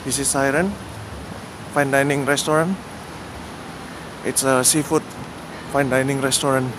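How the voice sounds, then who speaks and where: Slow-talking man on a city walking tour. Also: medium pitch, little pitch movement. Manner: slow, plain, pointing out landmarks with pauses and fillers. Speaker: vlogger giving a walking tour. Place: outdoors on rainy city streets. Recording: outdoor city noise behind the voice.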